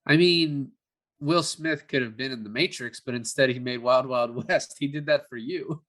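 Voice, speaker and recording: A man's voice: mid-range voice, normal speed, fairly bright tone. A man co-hosting a movie review podcast, chatting casually about films. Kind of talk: casual film discussion.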